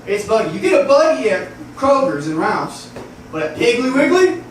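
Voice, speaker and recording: A man's voice: extremely high pitch, big pitch swings, moderate pace, a little hiss or hum. A man from the Southern United States with a Southern accent, doing a stand-up set and chatting with the crowd, often laughing between lines. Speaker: stand-up comedian. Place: comedy club stage. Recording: echoey distant club recording, loud crowd.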